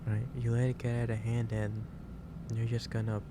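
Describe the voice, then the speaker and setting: A man playing a gentle, concerned office boss in an ASMR roleplay, speaking haltingly and repeating his phrases. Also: mid-range voice, completely flat delivery, background noise, lightly acted. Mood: earnest concern. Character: gentle, concerned male office boss lecturing an overworked employee. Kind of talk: improvised ASMR roleplay addressed to the listener.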